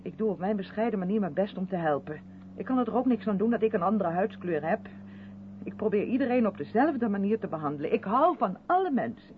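An actress playing a gushing, over-polite woman in a radio drama, quick to defend herself and faintly condescending. Her voice swings lively up and down. It sounds thin like a phone line, with a loud engine hum behind it. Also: medium pitch, natural voice. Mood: defensive. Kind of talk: radio play dialogue in a moving car.

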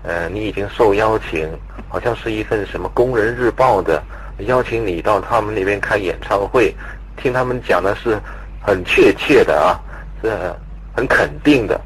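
A man's voice: lowish voice, normal speed, slight background noise, low-quality recording. A man conducting a conversational radio-style interview, putting long, polite questions.

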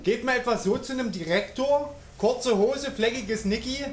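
A man's voice: very high voice, moderate pace. Audio drama role: blustering, irritable dad played by a man, indignant scolder, roomy and noisy recording. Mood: angry, scolding. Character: irritable, blustering father home from night shift. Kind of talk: German audio drama acting. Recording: roomy sound with clear background noise.

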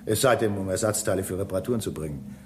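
A man's voice: sits low, very fast, music in the background, lightly acted. A man playing a human space-station engineer in a radio drama, explaining things emphatically and with some impatience. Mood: lecturing. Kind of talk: German radio drama acting.

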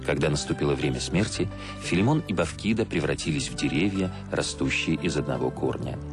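A solemn male narrator of a radio play, reading like an encyclopedia of myths. His voice is extremely deep, formal and measured, set over prominent music.

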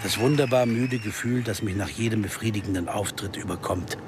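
An actor playing a brooding, driven jazz pianist turned amateur sleuth in a German radio drama. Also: male voice, on the low side, brisk, loud music behind the voice, lightly acted. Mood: reflective. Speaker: lead actor and narrator. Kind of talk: German radio drama acting with first-person narration.

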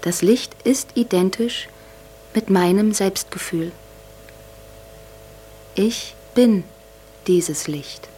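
An actress playing an entranced young woman in a radio drama, describing her consciousness haltingly and hushed, with long pauses. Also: mid-range voice, slight background noise. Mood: entranced, calm. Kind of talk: slow meditative monologue in a radio drama.

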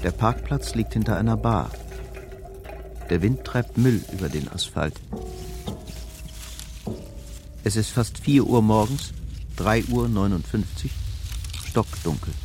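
An actor as the grave narrator of a crime thriller radio drama, telling it in short, scripted present-tense sentences. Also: male voice, fairly low pitch, background noise. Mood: tense, restrained. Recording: music behind the voice.

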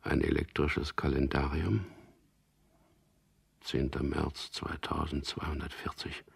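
An actor playing a dazed, fearful prisoner thinking aloud in a radio drama, halting and hushed, with a husky, gravelly voice. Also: male voice, very deep voice, level tone, exaggerated voice. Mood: dazed, numb. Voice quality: husky, gravelly, half-whispered. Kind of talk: radio drama inner monologue.

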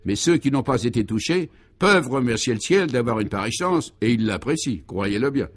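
A man's voice: mid-range voice, lively intonation, a little fast, natural voice. A man voicing a fat, jovial small-town mayor in a comic radio serial, declamatory and unshakably optimistic. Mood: proudly emphatic.

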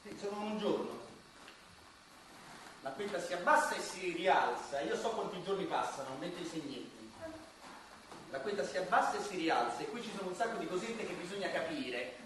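Earnest eccentric man, echoing, high voice. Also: animated, normal speed, a bit bright. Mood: musing. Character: earnest, obsessive eccentric man, pondering existence. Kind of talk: theatrical radio comedy acting. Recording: very echoey, distant, noisy.

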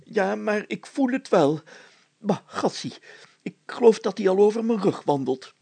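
A man voicing a pompous, fussy, excitable town mayor in a story, exclaiming and stammering when flustered. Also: high voice, measured pace, creaky, husky, no room sound, voice pushed up, exaggerated voice. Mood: squeamish panic.

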